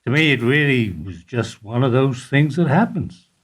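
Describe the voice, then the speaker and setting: A man acting in a radio play as an evasive older bank employee who answers in a guarded, deliberate way. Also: mid-range voice, animated, breathy edge. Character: evasive older male bank foyer employee under questioning.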